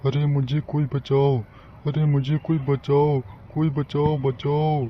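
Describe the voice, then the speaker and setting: A man's voice: on the high side, level tone, slow pace. A man playing a greedy village rich man in a cartoon moral story, given to repetitive pleading. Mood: panicked pleading. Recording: dull recording with faint noise.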